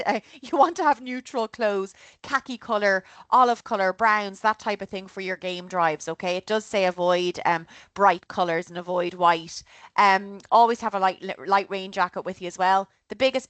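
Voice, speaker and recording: Upbeat Irish woman presenter, chatty, middle pitch, close and dry. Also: a bit bright, no echo. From Ireland. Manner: chatty and upbeat, often checks in with "okay?". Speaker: travel company presenter. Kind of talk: online group trip briefing.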